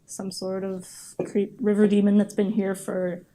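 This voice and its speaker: A woman's voice: medium pitch, level tone, unhurried, mellow. A woman co-hosting a podcast, telling stories in casual, informal chat and joking along.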